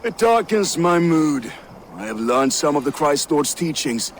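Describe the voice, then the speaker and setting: A man's voice: a little high, sing-song, unhurried, a little hiss or hum. An actor playing a cheerful, eager young male Viking warrior in a video game. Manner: hearty, eager.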